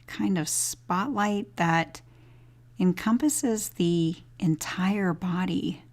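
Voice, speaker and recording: A woman with a slightly low voice guides a body scan meditation. She speaks very slowly and gently, leaving long pauses between phrases as she gives each instruction.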